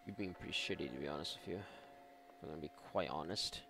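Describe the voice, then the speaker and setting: A man's voice: very deep voice, fast talker, creaky. A man giving casual, sarcastic, sweary video game commentary with mock exasperation. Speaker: video game let's-player. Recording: faint game noise behind voice.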